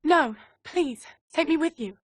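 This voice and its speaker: A woman's voice: sits high, animated, a little slow, no echo, studio quality. A woman playing a glamorous, flirtatious, plucky companion to a spy in a video game. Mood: anxious. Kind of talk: cinematic video game acting.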